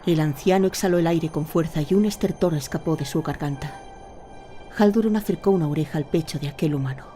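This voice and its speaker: A woman's voice: sits low, fairly quick, dark tone, faint music behind. A woman narrating a fantasy audio drama in a scripted, dramatic storytelling style.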